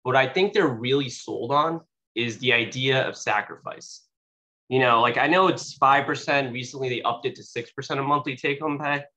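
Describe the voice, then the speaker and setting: A young man giving a casual, bright-toned sales talk. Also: mid-range voice, moderate pace. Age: young adult. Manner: casual, many "you know" fillers. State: sniffly from allergies. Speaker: guest speaker at a sales meeting. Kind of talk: motivational speech. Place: meeting room. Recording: dull lo-fi recording.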